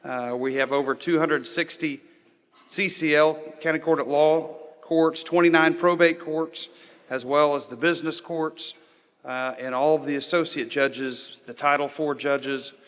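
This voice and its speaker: A man who is a district judge gives a formal welcome speech at a podium, speaking in a plain, steady way with frequent "uh" fillers. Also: a little high, a little slow. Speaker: district judge giving opening remarks. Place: large banquet hall. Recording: long reverb of a large hall.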